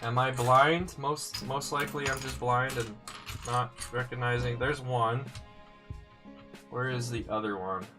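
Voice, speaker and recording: A man's voice: medium pitch, steady tone, a little slow. A man with a slightly congested voice, chatting casually on a live stream as he builds sets. He thinks aloud and answers viewers' comments. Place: indoors, his room. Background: faint music playing.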